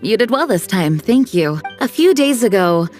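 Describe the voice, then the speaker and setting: An actress playing a dutiful, composed female knight commander in a video game cutscene, speaking in a formal, measured way. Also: mid-range voice, very animated, a little mellow, close to an everyday voice. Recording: faint game music behind.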